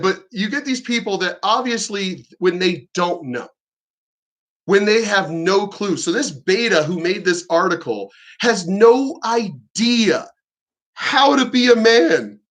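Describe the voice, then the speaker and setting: A man with a high, bright voice rants in a combative, mocking way, swearing freely. He sounds pointed and sharp as he tears into what he is reacting to. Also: animated, slow. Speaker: reaction commentator. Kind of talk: ranting reaction commentary.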